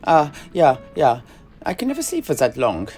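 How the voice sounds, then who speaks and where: Guy doing a groggy naive warrior, fantasy audio play, hesitant n theatrical, pitch all over. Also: huge pitch swings, moderate pace, darkish tone, faint music behind. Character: groggy, gullible male fantasy warrior lodger. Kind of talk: in-character fantasy audio drama.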